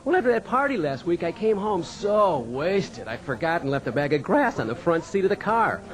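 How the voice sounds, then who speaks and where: A man's voice: fairly high pitch, big pitch swings, normal speed, crowd noise, poor audio. An actor playing an easygoing young American farmer and father in a radio drama, talking in a folksy, conversational way. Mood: sheepish, casual. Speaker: narrator and lead in radio dramatization. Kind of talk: scripted first-person radio drama narration and dialogue.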